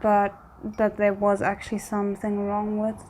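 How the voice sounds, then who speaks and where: A woman's voice: mid-range voice, monotone, normal speed, darkish tone, slight background noise. A woman doing casual reaction commentary, thinking aloud in tentative asides as she watches. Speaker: reaction video host.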